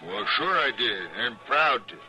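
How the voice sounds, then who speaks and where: An actor playing a plain-spoken saloon patron with a rural Western American drawl, folksy and hesitant, in an old-time radio western. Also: male voice, medium pitch, lively intonation, moderate pace, pushed and bright. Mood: curious, admiring. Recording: old radio broadcast with music.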